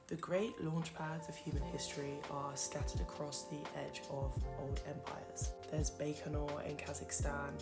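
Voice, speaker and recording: Woman host, low husky-sounding register, measured scripted read over loud music. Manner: earnest, measured, lightly self-deprecating. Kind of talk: scripted explainer narration.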